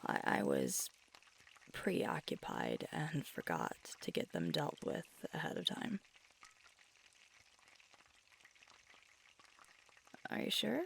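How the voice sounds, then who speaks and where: A woman playing a sweet, teasing, affectionate werewolf girlfriend in an intimate ASMR roleplay, talking casually and haltingly, at times hushed. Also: sits low, gravelly, a little hiss or hum, heavily acted. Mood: warm, relaxed.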